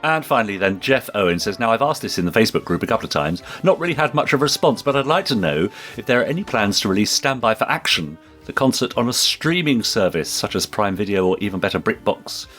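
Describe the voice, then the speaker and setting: Low-voiced British man, very lively intonation. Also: fast, hardly any pauses, soft background music. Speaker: podcast co-host and actor. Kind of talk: chatty podcast, reading out listener messages.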